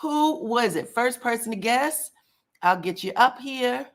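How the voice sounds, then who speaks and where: A woman's voice: a little high, lively intonation, unhurried. A woman with a scratchy voice hosts a live stream and chats straight to her viewers. She talks in a loose, folksy way, greeting people as she goes. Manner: chatty, folksy, addresses viewers directly. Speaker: celebrity gossip YouTuber. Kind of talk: casual live-stream gossip chat.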